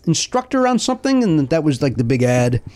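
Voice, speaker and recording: A man's voice: on the high side, sing-song, fairly quick, a little mellow. A man hosting a casual podcast, joking and teasing his guest as he asks questions. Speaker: podcast host.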